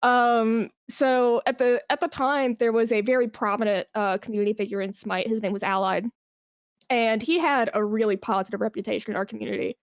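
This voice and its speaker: Woman, fairly high pitch, informal interview guest, candid storyteller, very dry recording. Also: steady tone, fairly quick, clear, resonant tone, dry recording. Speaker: podcast guest, esports brand manager. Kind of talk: casual conversational interview.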